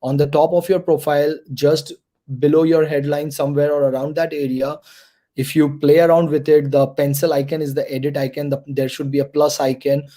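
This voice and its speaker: A man's voice: a little high, warm tone. A man mentoring students through an online career workshop, repeating key points and checking in with the audience.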